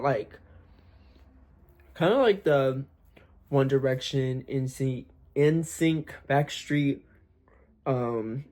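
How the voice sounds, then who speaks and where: A young man chatting candidly to camera about his singing plans, talking in a deliberately raised, slightly forced voice, swearing freely and using lots of fillers. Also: mid-range voice, darkish tone. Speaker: aspiring singer vlogger. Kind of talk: casual talk to camera.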